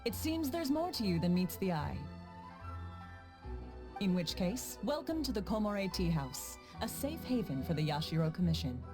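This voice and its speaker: A woman playing a cool, guarded teahouse gatekeeper in a video game, formal and measured. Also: mid-range voice, sing-song, natural voice. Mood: welcoming. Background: background music.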